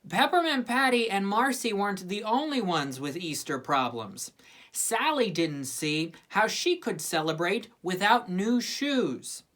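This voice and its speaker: Lively woman reading a storybook. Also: medium pitch, a little slow. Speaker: storybook reader. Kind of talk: expressive scripted storybook narration.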